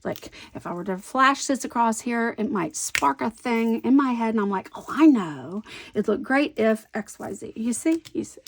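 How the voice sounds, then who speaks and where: A woman from the American South with a softened Southern accent, rambling and amusing herself as she narrates her scrapbooking. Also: fairly high pitch, animated, normal speed, slight background noise. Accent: light Southern American. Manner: rambling, self-amused, talks to herself. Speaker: scrapbooking vlogger. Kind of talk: chatty crafting commentary while working.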